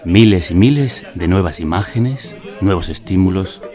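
An actor playing a grave, ominous male narrator in a radio drama, speaking solemnly and deliberately. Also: low voice, lively intonation, warm tone, faint music behind. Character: grave omniscient male narrator observing brainwashed friends.

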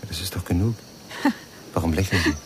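An actor playing a cynical yet gentle man with a gravelly voice and a blunt, probing manner in a German radio crime drama. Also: sits low, brisk, background noise, close to an everyday voice. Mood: cynical, frank.

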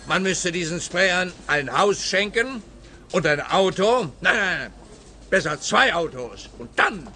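A self-righteous police officer from a comic radio play. His voice is forceful and a little high. He grumbles and pronounces with pompous emphasis over faint background noise. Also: full character voice. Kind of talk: radio play comedy dialogue.

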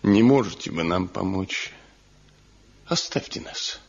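Slow, husky, tormented craftsman. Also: sits low, lively intonation, exaggerated voice. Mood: heavy, subdued. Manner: anguished, slow, rhetorical, heavy with bitterness. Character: tormented brooding master craftsman in despair, adult son. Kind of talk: Soviet radio drama acting. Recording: dull lo-fi archival 1970 radio recording.

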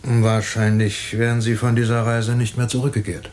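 An actor playing a calm, methodical police inspector in a radio drama, speaking in a measured, explanatory way. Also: male voice, medium pitch, lightly acted.

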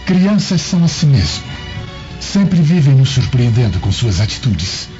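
An actor playing a lecherous, opportunistic Roman governor in a radio drama, insistent and theatrical. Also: male voice, medium pitch, sing-song, measured pace. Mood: seductive. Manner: smooth-talking, insistent, theatrical. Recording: muffled lo-fi, music underneath.

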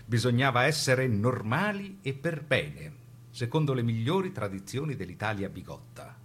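A man giving an emphatic, rhetorical scripted narration for a radio documentary play, with weighted pauses. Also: mid-range voice, sing-song, a bit bright, slightly rough.

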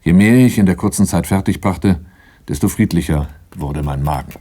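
A wary, brooding first-person narrator of a radio crime play. His voice sits very low and has a soft, dark tone. He tells things in a measured, matter-of-fact way. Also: breathy edge. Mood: methodical. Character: wary handyman-driver narrating his story, calm and brooding.

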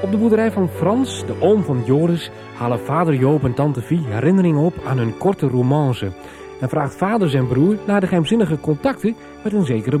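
A man narrating a scripted recap for a radio soap. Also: on the high side. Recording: music under the voice.

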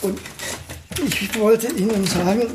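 An actor playing a gentle, absent-minded elderly physicist in a radio drama, speaking politely with wry understatement. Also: male voice, sits high, slow, background noise. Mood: modest, polite.

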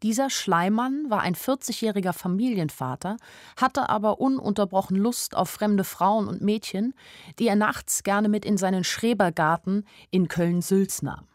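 A woman's voice: medium pitch, moderate pace, a little mellow, dry recording, studio quality, close to an everyday voice. An actress playing an anxious young woman who narrates her own story in a radio drama, in a plain, introspective way. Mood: sober narrating.

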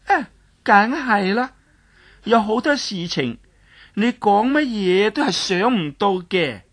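A woman voicing a mischievous, willful young girl who wheedles and pleads in a drawn-out way. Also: mid-range voice, animated. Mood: coaxing. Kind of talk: Cantonese storytelling dialogue.

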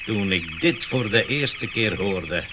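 An actor in a radio comedy playing a proud, obsessive amateur inventor and bird breeder, self-important, emphatic and easily exasperated. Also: male voice, on the low side, lively intonation, slow. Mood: proud, eager. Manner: cooing over pets, self-important, emphatic explaining. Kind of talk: comic radio play acting. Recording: noisy early-1980s radio play recording.

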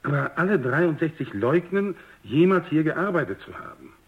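A man's voice: a little high, very animated. A man playing a courteous general who explains things formally and carefully in a German radio drama, on a dull lo-fi recording. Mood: reassuring, formal. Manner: formal, polite, measured. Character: courteous male general commanding a research base, explaining himself.

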